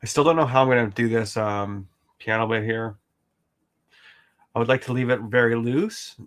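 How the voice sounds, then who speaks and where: A man's voice: mid-range voice, fairly even intonation, moderate pace. An Australian man from rural Queensland chatting casually while he paints, rambling with lots of "like" and "um" and casual swearing. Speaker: artist vlogger.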